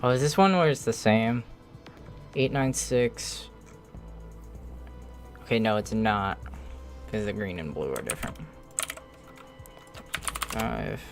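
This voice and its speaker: Casual streamer, mid-pitched voice, slow pace, muttering while working, faint background music. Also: expressive. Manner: mutters numbers and thoughts aloud to self. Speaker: live streamer modding a video game.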